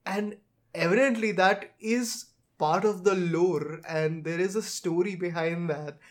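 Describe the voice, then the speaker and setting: A man's voice: high voice, a little slow. A man hosting a casual chat, with a hesitant, circling way of putting things. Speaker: podcast host. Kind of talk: casual chat about films and games.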